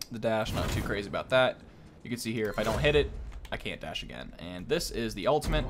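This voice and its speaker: A man hosting a gaming video with casual, off-the-cuff gameplay commentary. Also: fairly low pitch.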